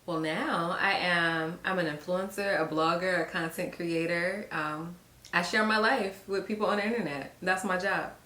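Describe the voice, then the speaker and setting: A woman in her thirties from Virginia, hosting a relaxed, chatty couple Q&A and laughing often. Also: lowish voice, normal speed. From Virginia, USA. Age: 30s. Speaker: lifestyle YouTuber host. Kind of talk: casual couple Q&A chat. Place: home room. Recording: echoey room.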